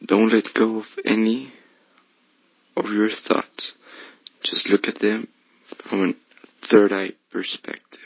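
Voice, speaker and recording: Slow, husky, low-voiced man guiding meditation. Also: level tone, no echo, dull audio. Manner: hushed, breathy, often slipping toward whisper. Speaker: guided meditation host. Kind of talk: guided meditation, soothing instruction.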